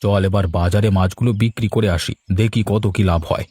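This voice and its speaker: A man's voice: fairly low pitch, fairly even intonation, fast, dark tone, slightly rough, dry recording. A man playing a hardworking, practical village fishmonger in a cartoon, hopeful about his family trade. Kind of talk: Bengali cartoon dialogue.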